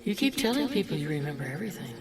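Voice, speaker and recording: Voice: mid-range voice, big pitch swings, rapid-fire, noisy background, close to an everyday voice. An actor playing the mocking, disgusted inner voice of an old man, sardonic and needling, in an audio drama. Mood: scornful. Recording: roomy echo, music behind.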